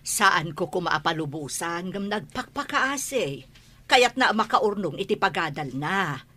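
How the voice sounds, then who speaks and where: A woman's voice: on the low side, lively intonation, measured pace, sharp tone, full character voice. A woman playing an anxious but firm middle-aged rural mother in a radio drama, with an Ilocano accent. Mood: pleading.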